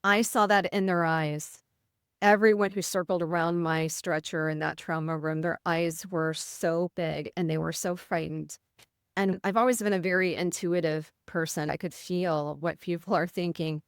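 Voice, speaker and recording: A woman's voice: lowish voice, steady tone, normal speed, dry recording, clean studio sound. Earnest woman nurse retelling her ordeal. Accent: American Midwestern. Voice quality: sometimes slightly rough voice. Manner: earnest, vivid retelling with quoted inner thoughts. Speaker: nurse, podcast guest. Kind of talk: conversational storytelling interview.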